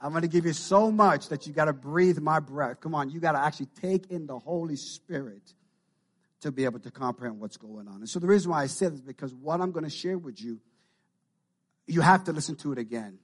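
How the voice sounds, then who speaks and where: A man with a Jamaican Canadian accent delivers a sermon, his voice pitched a little above the usual for men. He builds intensity as he goes, circling back to repeat key phrases for emphasis. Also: animated, brisk. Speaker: pastor.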